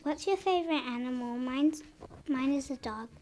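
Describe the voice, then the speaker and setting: A woman's voice: high-pitched, normal speed, a little mellow. A woman reading short, plain interview questions to a school leader. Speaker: student interviewer.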